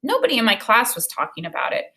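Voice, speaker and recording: Expressive woman teacher reading aloud. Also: a little high, quick pace. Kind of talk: read-aloud of a children's novel with think-aloud commentary. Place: small indoor room with bookshelf and whiteboard.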